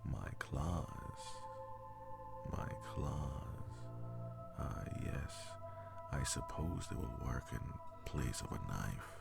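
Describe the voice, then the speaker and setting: A man playing a powerful, devoted demon lover in an intimate roleplay audio drama, speaking formally in a breathy, gravelly half-whisper. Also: very deep voice, lively intonation, exaggerated voice. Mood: curious, hushed. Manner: slow, formal, archaic phrasing. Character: powerful male demon, devoted, jealous lover bound to a sorcerer. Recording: music behind the voice. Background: music.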